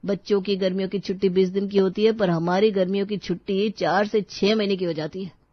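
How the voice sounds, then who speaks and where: A woman's voice: mid-range voice, steady tone, brisk, no room sound, lo-fi sound, lightly acted. A woman voicing a resigned, earnest young husband in a dramatised story, speaking plainly and explaining.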